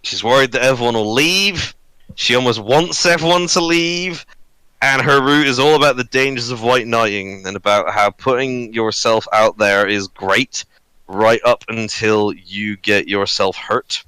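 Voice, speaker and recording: A man in his early twenties chatting and telling personal stories on a podcast, halting, sweary and self-deprecating. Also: mid-range voice, sharp tone, clear, resonant tone, no echo, poor audio. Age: early 20s. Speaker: podcast crew member. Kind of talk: casual podcast chat, personal storytelling. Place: online voice call recorded on own mic.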